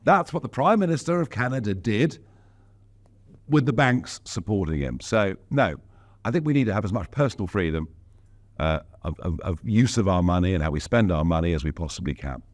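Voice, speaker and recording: Outspoken man with a lively voice. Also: fairly low pitch, brisk. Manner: emphatic and outspoken, with wry asides. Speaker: interview guest, politician. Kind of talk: casual interview talk.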